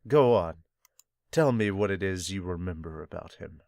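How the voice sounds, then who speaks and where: A man voicing a calm young male captain who speaks in a quiet, terse, serious way. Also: sits low, normal speed, no room sound, clean studio sound, voice pushed down.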